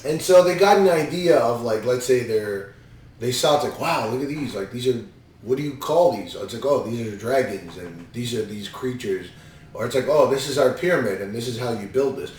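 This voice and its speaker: A man's voice: mid-range voice, expressive, normal speed, darkish tone, a little hiss or hum. A man rambles through casual, incredulous movie commentary on his podcast. His voice comes from some distance off the mic. It rings in a very echoey room. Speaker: podcast host reviewing a movie.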